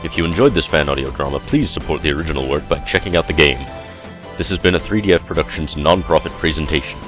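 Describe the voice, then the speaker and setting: A man reading scripted narration as the announcer of a fan audio drama. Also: very deep voice.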